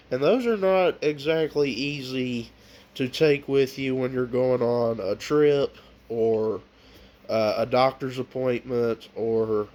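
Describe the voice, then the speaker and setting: Slow, stuffy-nosed man chatting casually. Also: medium pitch, darkish tone. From United States. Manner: slow and unhurried, with frequent ums and uhs. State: stuffy nose from a cold. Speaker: collector showing off his books. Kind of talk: casual vlog talk.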